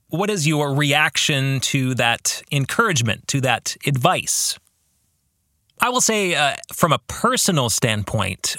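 A man hosting a reflective book-club podcast as its author, speaking in deliberate phrases and addressing the listener directly. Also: on the high side, bright, forceful tone, no room sound, studio quality. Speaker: podcast host and author discussing his own book.

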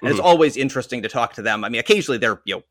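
A man's voice: medium pitch, animated, very fast, fairly bright tone, no room sound, clean studio sound. A man from Western Massachusetts with an American accent, talking sports casually as a podcast guest. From Western Massachusetts, United States. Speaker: podcast guest, soccer commentator.

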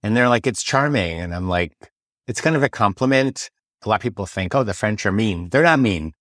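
A man's voice: on the low side, expressive, fairly quick, a bit bright, no echo, studio quality. An American man hosting a podcast, chatting casually and telling anecdotes. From United States. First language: English. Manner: chatty, tells anecdotes with quoted voices. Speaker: podcast host. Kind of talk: casual interview chat.

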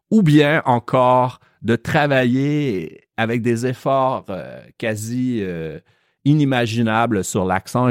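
A man with a Quebec French accent hosting a podcast lesson, explaining slowly and carefully. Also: on the low side, no echo, studio quality. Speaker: French teacher and podcast host.

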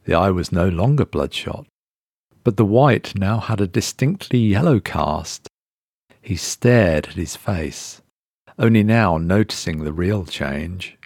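Low-voiced man narrating a horror story. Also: expressive, a little mellow, dry recording, studio quality. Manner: measured, suspenseful storytelling. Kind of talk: scripted dramatic narration.

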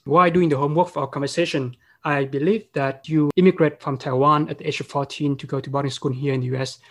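A man's voice: on the high side, steady tone, fairly bright tone, no room sound. A man hosting a podcast interview, asking questions with occasional fillers. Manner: quick, reads questions with fillers. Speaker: podcast host.

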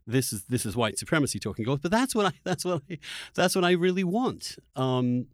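A man hosting a conversational political discussion, speaking emphatically and haltingly with repeated words. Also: fairly high pitch, very animated, no echo, studio quality. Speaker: podcast host and interviewer.

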